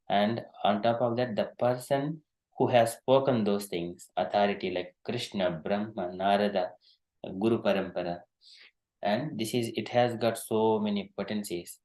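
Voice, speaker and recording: A man with Telugu as his leads a devotional verse class in a gentle, encouraging way. His voice sits a little low and carries a clear echo of the room. He often pauses with fillers as he explains. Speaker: class leader.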